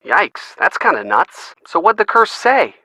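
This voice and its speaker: An actor playing a wisecracking, upbeat young friend in a video game, with an American accent and a playful, wry, chatty delivery. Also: male voice, high-pitched, very animated, measured pace, pushed and bright, no room sound, full character voice, rough recording. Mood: playful, intrigued. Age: young adult. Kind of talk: video game dialogue acting, conversational phone chat.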